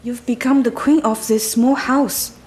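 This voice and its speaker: A woman playing a worldly, blunt companion who calms her friend with firm, deliberate lines in a radio drama. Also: fairly high pitch, natural voice. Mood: firm, soothing.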